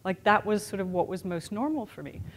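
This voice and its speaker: A woman's voice: mid-range voice, lively intonation, brisk, slight background noise. A woman with a mixed international accent gives a motivational keynote. She talks in a candid, chatty way, full of small fillers. The sound comes from a hotel conference room. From born in the United States, raised in Europe and Asia. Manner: conversational and candid, with many "sort of" and "you know" fillers. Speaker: keynote speaker.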